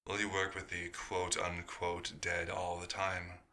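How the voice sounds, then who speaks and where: Man voicing a deep-voiced, formal vampire doctor. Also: monotone, measured pace, sharp tone, heavily acted. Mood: earnest, polite. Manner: formal, hesitant, polite. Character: male vampire doctor turned human, formal, bewildered, hungry. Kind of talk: dramatic audio roleplay.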